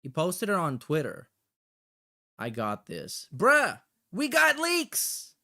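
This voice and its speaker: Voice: medium pitch, wildly swooping pitch, slow, bright, forceful tone. A livestream host chatting casually and reacting in a blunt, heavily profane way.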